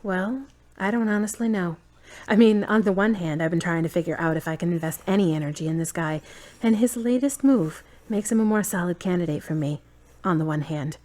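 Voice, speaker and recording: A woman playing a wry, thoughtful grown daughter in an audio drama, measured and dry. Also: lowish voice, a little mellow, close to an everyday voice. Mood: guarded, unsure. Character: wry, guarded adult daughter, thoughtful and independent.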